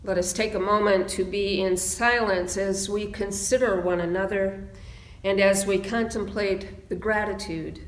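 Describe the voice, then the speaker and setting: A woman minister leading a reflective prayer and meditation in a solemn, measured way with deliberate phrasing. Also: medium pitch, fairly even intonation. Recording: roomy, echoing sound.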